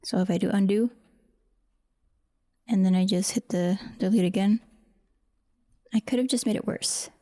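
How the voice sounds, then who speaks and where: A woman's voice: medium pitch, steady tone, normal speed, pauses often, mellow. A woman talking casually through an audio editing walkthrough as a voice actor, murmuring to herself in short fragments. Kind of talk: casual screen-recorded editing walkthrough, thinking aloud.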